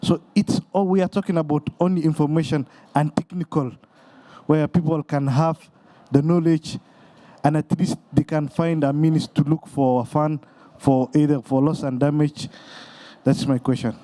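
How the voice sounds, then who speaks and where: A man's voice: a little high, steady tone, normal speed, dark tone. A man from Somalia in a conference audience, earnestly asking about access to funding. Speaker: audience member asking a question. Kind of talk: audience question. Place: conference pavilion.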